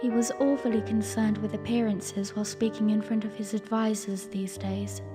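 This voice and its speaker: A woman playing a young princess who narrates her own story in a formal, reflective audio drama style, earnest and weary with a quiet defiance. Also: medium pitch, level tone, moderate pace, natural voice. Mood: reflective, wistful. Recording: long reverb, music underneath. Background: music.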